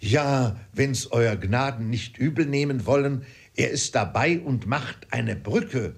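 A humble manservant, put on by a man reading aloud, eager and simple. His husky voice halts and draws out words deferentially, as a character in a storybook. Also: medium pitch, expressive, voice pushed up, full character voice. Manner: halting, humble, drawn-out. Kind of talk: audiobook character voice.

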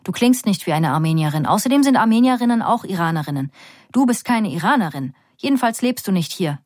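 A woman narrator voicing a nosy, stern woman in a mosque who questions and scolds a stranger in a quick, know-it-all way. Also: mid-range voice, lively intonation, a little mellow, no echo, studio quality, lightly acted. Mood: scolding.

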